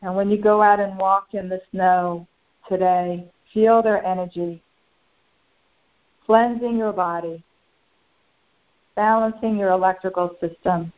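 A serene, benevolent ascended master, channeled by a woman leads a guided meditation. The phrases are short and unhurried, parted by long pauses, in a mid-pitched voice. The recording is dull and lo-fi. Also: fairly even intonation, natural voice. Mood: serene, reverent. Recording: dull lo-fi sound.

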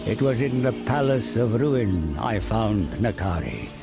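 Low, slow old seer. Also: male voice, lively intonation, music in the background. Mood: ominous storytelling. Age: older. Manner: grave, dramatic, measured. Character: old wandering male seer, grave and cunning. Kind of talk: dramatic storytelling in a game cinematic.

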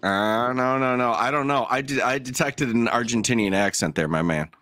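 A man's voice: medium pitch, normal speed, bright, forceful tone. A man hosting a livestream with casual, joking commentary, often repeating words as he goes. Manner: joking, stammering repetitions. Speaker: livestream host. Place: video call.

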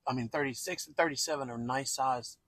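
Male travel vlogger, folksy and colloquial, casual rambling tour chat, husky edge, medium pitch. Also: brisk, a bit bright, no echo.

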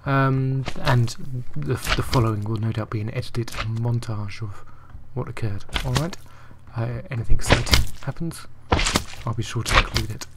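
An English man with an English accent, softly commentating his gameplay and rambling with many ums and uhs. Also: mid-range voice, steady tone, normal speed, very noisy. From England. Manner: quiet, soft-spoken. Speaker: let's play host.

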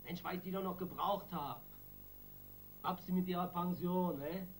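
An actor playing a defiant, sullen street gang boss under questioning in a radio crime play, with slangy speech. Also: male voice, sits high, steady tone, normal speed, slight background noise, poor audio.

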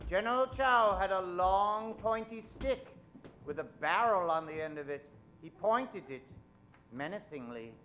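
A man's voice: sits high, lively intonation, unhurried, a bit bright, muffled recording, slight background noise. A middle-aged man narrating and hosting in a dramatic old-time radio announcer style. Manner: dramatic, showy. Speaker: host and narrator. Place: theater stage. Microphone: vintage-style stand microphone.

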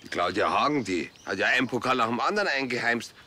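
An actor with a Bavarian accent playing an evasive, hard-up horse farmer, defensive and halting. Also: male voice, mid-range voice, expressive, sharp tone, a little hiss or hum.

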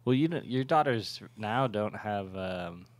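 A man in his thirties co-hosting a podcast in a small studio on a boom mic, joking and laughing in relaxed chat. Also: unhurried. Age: 30s. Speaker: podcast co-host, brewery owner. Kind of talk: casual chat. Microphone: large studio mic on boom arm.

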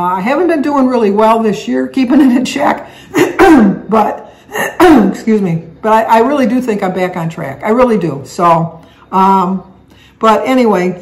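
A woman's voice: mid-range voice, poor audio. An older woman chatting casually to camera in her living room, rambling a little with restarts. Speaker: vlogger. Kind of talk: casual chat. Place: living room at home, indoors. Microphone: camera on a table, seated facing it.

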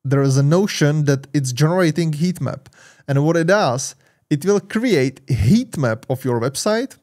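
A man in his thirties with a Czech accent, hosting a screen-share software walkthrough in a loose, rambling way with many fillers. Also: fairly high pitch, expressive, moderate pace. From Czech Republic. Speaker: tech channel host. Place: home studio with purple lights. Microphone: large studio mic on arm.

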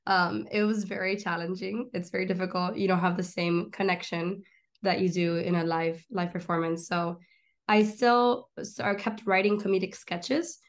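Chatty young woman on a video call. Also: lowish voice. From born in Miami, raised in Argentina. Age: 20s. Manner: animated, gestures a lot, rapid run-on storytelling. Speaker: guest, comedian and filmmaker. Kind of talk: casual video-call interview. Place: small room at home, indoors. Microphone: laptop webcam on a video call.

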